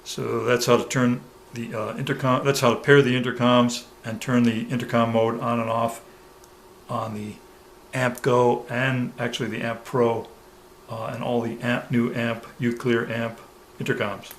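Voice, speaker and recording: A man presenting a hands-on product tutorial step by step, pausing mid-sentence as he demonstrates. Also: mid-range voice, flat delivery. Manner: halting, pauses mid-sentence while demonstrating. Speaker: product reviewer giving a demonstration. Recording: clear background noise, room ambience.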